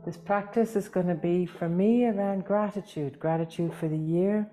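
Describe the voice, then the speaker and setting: Woman, low-pitched soft-toned voice, guided meditation, gentle and soothing, long pauses, music underneath. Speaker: yoga nidra teacher.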